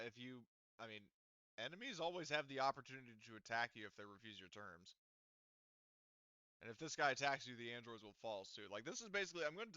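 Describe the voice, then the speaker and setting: A man's voice: mid-range voice, sharp tone, smooth, dry recording, dull audio. A man narrating as game master of a tabletop game, rambling with fillers and restarts.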